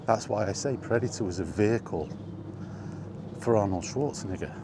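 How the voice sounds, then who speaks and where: A man's voice: on the low side, normal speed. A man giving a casual, conversational monologue as a vlog host, often throwing in rhetorical questions and asides. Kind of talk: casual film chat monologue. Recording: clear background ambient noise.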